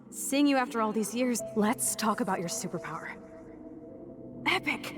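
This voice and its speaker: Brash high-voiced rebel teen girl. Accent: American. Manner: slangy, cocky, emphatic. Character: brash, rebellious, punky teenage girl, fiercely loyal. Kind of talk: video game voice acting. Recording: music behind the voice. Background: game soundtrack music.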